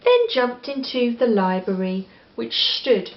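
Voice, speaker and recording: A woman's voice: on the high side, very animated, takes it slowly. Englishwoman reading in an echoey room. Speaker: storybook reader. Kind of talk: expressive children's story reading. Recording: echoey room, slightly dull sound.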